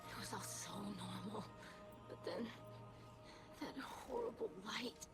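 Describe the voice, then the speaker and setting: A woman's voice: medium pitch, takes it slowly, lightly acted. An actress playing an earnest, sensitive young female survivor in a video game, emotive, shaken and guilt-ridden. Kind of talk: dramatic video game dialogue. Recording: music behind the voice.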